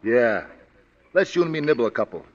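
A man's voice: mid-range voice, sing-song, brisk, close to an everyday voice. Guy doing a big lumbering ex-con, blunt broken grammar, old muffled radio drama. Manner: plain, blunt, ungrammatical speech. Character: huge brooding male ex-convict, slow and simple-spoken. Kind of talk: 1940s radio acting. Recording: dull lo-fi 1940s radio broadcast.

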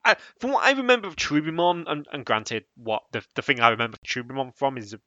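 A man chatting casually as a podcast co-host, talking in a halting, rambling way and often trailing off. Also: medium pitch, pushed and bright, no echo, highs cut off. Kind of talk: casual chat about anime voice acting.

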